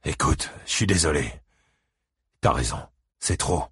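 Man acting gruff, husky, battered ex-convict. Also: sits low, moderate pace, a bit bright, dry recording, clean studio sound, heavily acted. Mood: weary, softened. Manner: gruff, curt, muttering. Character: Buddy Lee, battered, wry, lonely ex-convict father.

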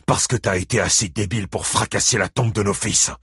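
A man's voice: fairly low pitch, brisk, fairly bright tone, breathy edge, no room sound, clean studio sound, heavily acted. A man voicing a furious, bitter, vengeful father who spits out harsh accusations in an audiobook novel. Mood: cold fury.

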